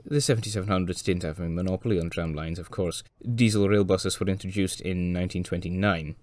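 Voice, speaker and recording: Man, very low voice, a bit hoarse, scripted narration, dry wry humour. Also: darkish tone, dry recording. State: hoarse from a cold. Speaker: railway video presenter.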